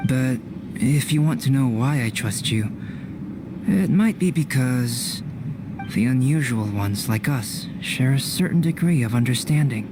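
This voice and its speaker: A man playing a calm, enigmatic young male alchemist in a video game, measured and reserved in his delivery. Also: medium pitch, background noise, lightly acted. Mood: calm, pensive. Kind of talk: game voice acting.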